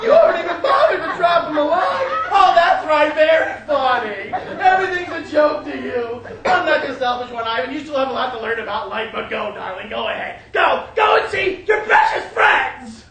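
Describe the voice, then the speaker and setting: A woman's voice: high voice, lively intonation, normal speed, hardly any pauses, fairly bright tone, a faint crowd. A woman playing an aggrieved, self-pitying older mother in a stage monologue, melodramatic and wounded, guilt-tripping shrilly. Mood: outraged, self-pitying. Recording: echoey stage recording, distant mic, noisy.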